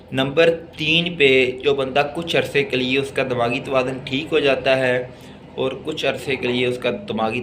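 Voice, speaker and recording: A man with a Pakistani accent lecturing on law, deliberately reading out text and explaining it in a teacherly way. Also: medium pitch, a bit bright, a little hiss or hum. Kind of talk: exam-oriented law lesson, reading English text and explaining it.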